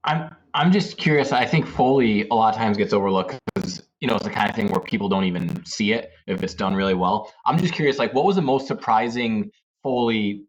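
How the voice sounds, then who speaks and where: A man's voice: fairly low pitch, a little fast, hardly any pauses. A man on a review panel, asking a question in a casual conversational style. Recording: dull lo-fi audio with noise.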